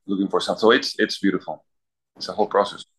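Low-voiced priest, thoughtful. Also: male voice, fairly even intonation, rapid-fire, a bit bright. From Peru. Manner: thoughtful, listing examples, clears throat. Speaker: university chaplain priest. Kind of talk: casual interview answers.

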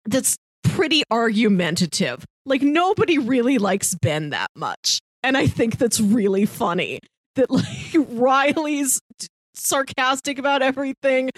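A woman co-hosting a podcast, chatting casually about films in an animated, amused way. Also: a little high, dry recording. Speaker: podcast co-host. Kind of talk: casual film discussion chat.

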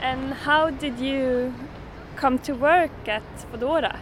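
A woman hosting a radio documentary, asking measured questions in an interview. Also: high voice, slight background noise.

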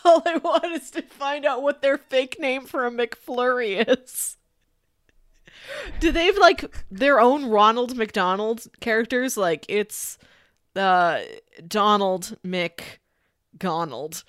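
A woman's voice: on the high side, slow, fairly bright tone, no echo, clean studio sound. A woman co-hosting a podcast in casual, playful, conversational chat. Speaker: podcast co-host.

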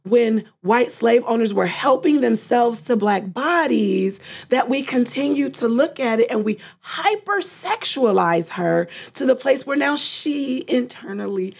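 A woman's voice: a little high, lively intonation, measured pace, creaky, husky. A woman therapist co-hosting a casual discussion, reflective, who often speaks in a client's voice to make a point. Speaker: therapist and co-host.

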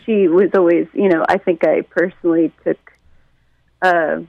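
Creaky-voiced American woman chatting. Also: fairly low pitch, steady tone, moderate pace, no echo, narrow, tinny audio. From Detroit, United States. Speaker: musician and songwriter being interviewed. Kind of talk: casual chat, interview answers.

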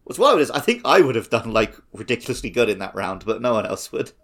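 A man's voice: fairly low pitch, sing-song, fast, gravelly. A man hosting a comedy game show podcast in a casual, playfully strict and teasing way. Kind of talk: casual comic quizmastering.

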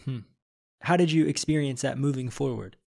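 A male podcast host in a studio, warm in tone and quick with encouragement. His voice sits in an ordinary middle register as he leads the interview. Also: mid-range voice, creaky.

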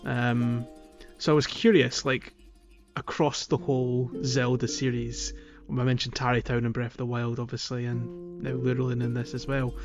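A man's voice: mid-range voice, little pitch movement, normal speed, music in the background. A man hosting a casual gaming podcast, often searching for his words. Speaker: podcast host.

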